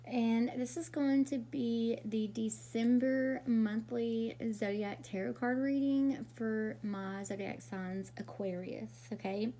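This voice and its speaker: A woman doing a casual tarot reading, her voice raspy from a cold, chatty and often checking in with "okay?". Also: on the high side, steady tone, slow, few pauses, warm tone, rough recording. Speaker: tarot reader.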